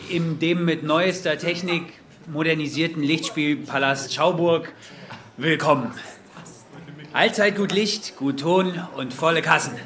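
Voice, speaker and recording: A proud cinema owner addresses his guests in a formal, ceremonious manner. His voice is fairly high for a man and slow, with faint noise behind it, as in a radio play. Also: a bit bright. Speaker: cinema owner giving opening speech. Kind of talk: radio drama, formal public announcement.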